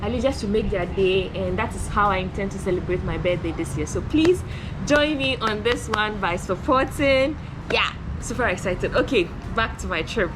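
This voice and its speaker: A woman around thirty, talking excitedly to camera in a casual vlog, bubbly and repeating herself. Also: medium pitch, moderate pace, noisy background. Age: 30s. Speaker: travel vlogger. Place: at work on a rainy morning.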